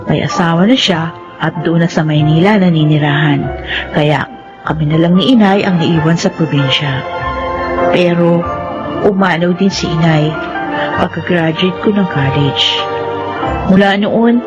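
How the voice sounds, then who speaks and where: A woman's voice: lowish voice, measured pace, pauses often, close to an everyday voice. An actress playing a sweet, grateful young woman from the provinces, in radio drama style. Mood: reflective. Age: young adult. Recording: muffled radio drama with music. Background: background music.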